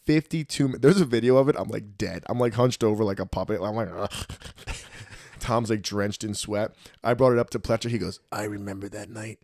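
A man in his thirties telling stories in a casual podcast chat, profane and animated. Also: fairly low pitch, a little fast, dry recording, studio quality. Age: 30s. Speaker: podcast guest.

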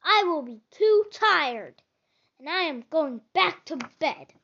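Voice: very high voice, expressive, slow, sharp tone, highs cut off, full character voice. Child putting on a grumpy, sleepy storybook toad. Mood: sleepy, complaining. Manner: reluctant, complaining. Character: sleepy, grumpy toad who wants to stay in bed.

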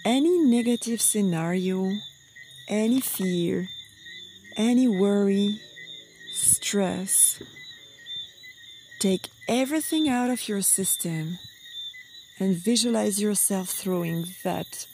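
A woman leading a guided meditation in a soft, breathy, soothing voice, with short phrases and long pauses. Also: medium pitch, slight background noise. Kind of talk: slow guided meditation narration.

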